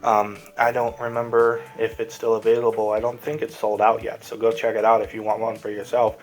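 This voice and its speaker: Low, flat-toned man reviewing toys. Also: brisk, soft background music, low-quality recording. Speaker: toy reviewer and host of the show. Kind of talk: casual hands-on toy review, unscripted.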